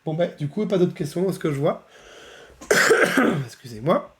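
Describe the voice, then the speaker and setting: A man with a cold, a bit hoarse and nasal, casually presenting software on a live stream. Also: a little high, very animated, measured pace. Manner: chatty, many fillers, laughs often. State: has a cold, slightly hoarse.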